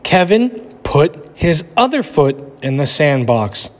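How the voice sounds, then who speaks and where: A man teaches and reads aloud for children. His voice sits a little high and moves with lively swings. It carries a long echo from the room. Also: takes it slowly. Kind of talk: animated read-aloud and lesson for children. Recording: echoey room with long reverb.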